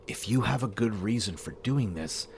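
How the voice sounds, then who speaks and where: A man playing a steadfast, grave goblin warrior in a fantasy audio drama, speaking in measured formal phrases. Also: fairly low pitch, lively intonation, vocal fry, slight background noise. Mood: grave, pleading. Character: loyal male goblin warrior, gruff steadfast comrade.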